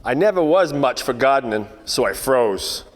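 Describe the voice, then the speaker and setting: A man's voice: medium pitch, normal speed, close to an everyday voice. Wry private eye in long reverb acted by a man. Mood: brooding, wry. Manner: deadpan hard-boiled narration and quips. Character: wisecracking male 1940s private detective, wry and world-weary. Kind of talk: 1940s radio noir parody narration.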